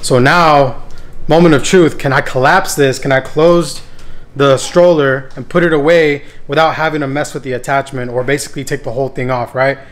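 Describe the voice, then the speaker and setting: A man's voice: mid-range voice, steady tone, normal speed. A young man giving an informal, slangy, hands-on tutorial as a family vlogger at home. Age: young adult. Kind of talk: casual hands-on product tutorial. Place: living room at home with tiled floor. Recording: clear background room noise.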